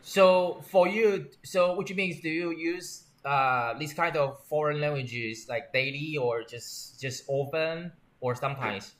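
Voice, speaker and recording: Higher-voiced man asking halting questions. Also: fairly bright tone. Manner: halting, restarts and rephrases questions. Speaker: interviewer. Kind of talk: structured interview questions.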